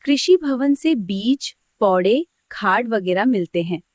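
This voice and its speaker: A woman playing a kindly older village farmer in a children's cartoon, speaking gently and clearly. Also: on the high side, expressive, takes it slowly, dry recording, highs cut off. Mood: kindly, content. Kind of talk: scripted children's educational cartoon dialogue.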